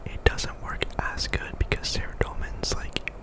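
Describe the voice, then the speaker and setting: A man giving ASMR game commentary in a breathy, unvoiced whisper, often softly repeating words and phrases. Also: a little slow. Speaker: gaming video host.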